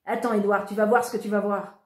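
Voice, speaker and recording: A woman reading aloud voices a teasing teenage boy who mocks his family in a loud, cheeky way. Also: medium pitch, expressive, a little fast, natural voice. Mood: mocking. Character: teasing, mocking teenage boy, big brother. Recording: roomy echo.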